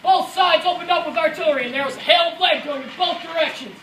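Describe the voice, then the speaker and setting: A man's voice: very high voice, quick pace, sharp tone. A man playing a dutiful American Second World War paratrooper, recounting combat in an earnest, rehearsed dramatic narration. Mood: urgent, forceful. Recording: echoey room from far off, faint noise.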